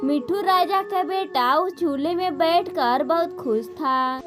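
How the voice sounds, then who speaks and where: A woman's voice: extremely high pitch, takes it slowly. A woman reading a scripted children's story as narrator, with music playing behind her.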